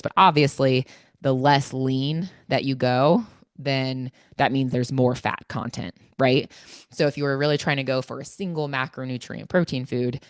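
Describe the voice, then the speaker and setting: A woman's voice: extremely low pitch, no room sound, clean studio sound. A woman hosting a casual solo podcast on nutrition, sounding stuffy and congested, chatty and informal with lots of fillers. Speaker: podcast host and fitness coach.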